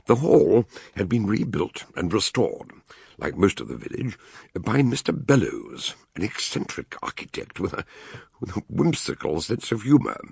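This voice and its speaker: A ponderous older British detective for radio drama. The voice is very low and husky, swinging widely in pitch. He weighs his phrases with dramatic gravity. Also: big pitch swings, moderate pace, darkish tone, no room sound, clean studio sound, exaggerated voice. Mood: measured, grave. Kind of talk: radio drama acting, narrated case notes.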